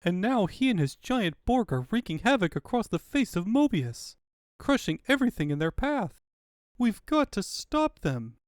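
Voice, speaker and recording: A man's voice: sits high, big pitch swings, normal speed, dry recording, studio quality, pitched above the performer's own voice. A man voicing an earnest young heroine princess in a comic fandub, urgent and sensible.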